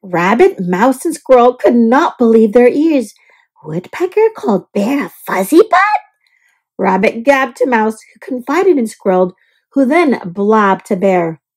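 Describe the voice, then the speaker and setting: A woman reading a children's picture book aloud in an expressive, scripted storytelling style. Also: a little high, unhurried. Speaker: storybook reader.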